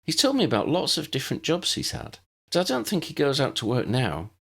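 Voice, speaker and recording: Young newcomer girl for audiobook, male performer: high swooping pitch, polite, curious, hesitant. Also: wildly swooping pitch, a little fast, vocal fry, a bit bright, husky, full character voice. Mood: thoughtful. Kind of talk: audiobook character voice.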